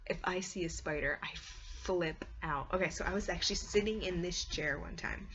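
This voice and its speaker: A woman chatting casually and ramblingly to her viewers, with an animated, dramatic storytelling manner. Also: on the low side, moderate pace, soft background music. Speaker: vlogger and hobby story writer. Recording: dull lo-fi home recording.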